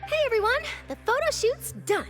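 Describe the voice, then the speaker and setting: A young woman reporter in an anime game, eager and chatty. Her voice is very high and swings widely with expression. Music plays underneath. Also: brisk. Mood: cheerful. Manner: bright-spirited, chatty, expressive. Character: young female reporter, eager, chirpy and polite. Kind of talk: anime-style video game voice acting.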